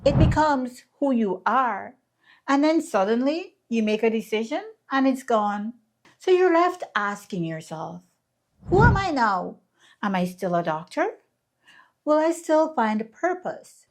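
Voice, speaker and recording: Earnest woman, slightly high voice. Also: big pitch swings, a little slow, a little hiss or hum. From Guyana. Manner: earnest, candid and reflective. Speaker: vlogger. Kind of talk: scripted reflective vlog narration.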